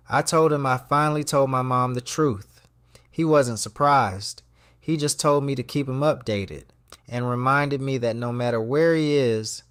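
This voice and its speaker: A thoughtful, patient man confides a diary entry in an audio drama , as voiced by an actor. His mid-pitched voice is measured and reflective, recorded very dry and close. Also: clean tone, no room sound, natural voice. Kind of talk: scripted first-person diary narration.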